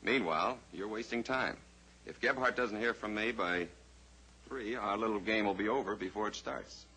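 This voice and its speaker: An actor playing a suave, scheming villain in an old-time radio drama, mock-polite and deliberate. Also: male voice, fairly low pitch, bright, forceful tone, husky, poor audio. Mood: threatening, in control. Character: suave, menacing male villain, a scheming blackmailer. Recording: old radio recording with faint hiss.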